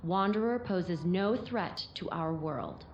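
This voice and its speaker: An actress playing a radio news announcer with a smooth, reassuring broadcast delivery. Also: female voice, lowish voice, lively intonation, slow pace, muffled recording, slight background noise. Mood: calm.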